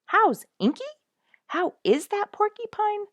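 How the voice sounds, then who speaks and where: A woman voicing an eager, earnest admirer in a storybook, with excited, emphatic questioning. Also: very high-pitched, slow, no room sound, lo-fi sound, pitched above the performer's own voice, full character voice. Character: eager, earnest admirer asking after a famous pet porcupine.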